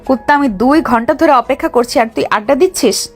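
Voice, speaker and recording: A young bride, played by a woman, loving yet feisty, in an audio romance. Her voice sits very high, over soft background music. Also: a little fast. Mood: angry. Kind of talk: audio love story acting.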